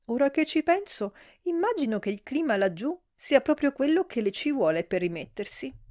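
A loud, gossipy middle-aged woman, put on by a female audiobook narrator, her voice pitched high and pushed hard. She blurts out exclamations in shrill bursts. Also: very animated, moderate pace.

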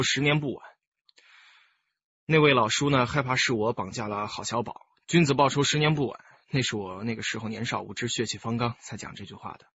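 A man's voice: medium pitch, fairly bright tone, breathy edge, no room sound, lo-fi sound. An actor playing a composed, candid young male music teacher, speaking politely and carefully in a radio drama. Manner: polite, measured, explanatory.

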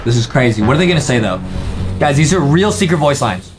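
A man's voice: medium pitch, expressive, quick pace. A young man hosting a reaction video, giving hyped live commentary full of exclamations, slang and repeated catchphrases. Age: young adult. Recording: loud music under the voice.

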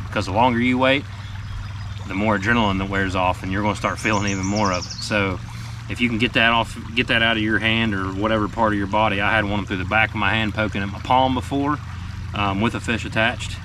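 Low-voiced man talking from a boat. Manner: relaxed, plain-spoken, many ums and asides. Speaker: fishing guide and show team member. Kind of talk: casual instructional talk to camera. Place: sitting in a small boat on a river, outdoors. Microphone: camera mic from a few feet away. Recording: distant outdoor camera mic with noise.

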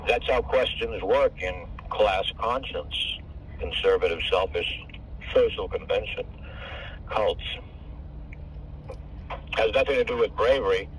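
A man's voice: fairly low pitch, unhurried, long pauses. An older man, over 65, talking in a rambling, profane, hard-to-follow way on a phone call. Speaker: caller. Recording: distant phone call with faint car noise.

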